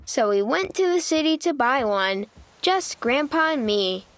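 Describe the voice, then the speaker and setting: A woman narrating a children's storybook in an animated, childlike way, as an eager young critter telling the story. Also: high voice, unhurried, no room sound. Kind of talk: children's read-aloud narration.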